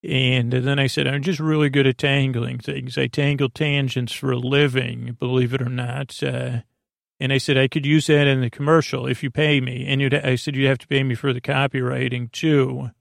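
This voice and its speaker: Creaky-voiced man rambling through a bedtime podcast. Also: mid-range voice, flat delivery, no echo, clean studio sound. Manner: rambling, halting, drifting into tangents with many false starts. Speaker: podcast host. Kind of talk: meandering improvised bedtime-story monologue.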